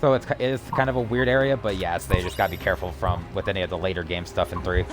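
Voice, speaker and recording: A man's voice: low voice, fairly even intonation, fast talker. A man hosting a live game stream, chatting casually and giving his opinions with enthusiasm. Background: faint game music.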